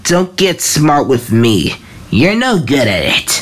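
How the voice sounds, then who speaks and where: This is a woman reading a comic aloud and doing all of its parts. She speaks very slowly, with a lively pitch that rises and falls, and she stumbles and restarts now and then. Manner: slow, halting reading with restarts. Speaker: fan comic dub reader.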